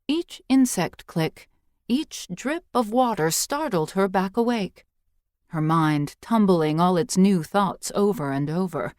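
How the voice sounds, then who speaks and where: A woman reading scripted fiction as an audiobook narrator, with measured, expressive storytelling. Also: mid-range voice, no echo, studio quality.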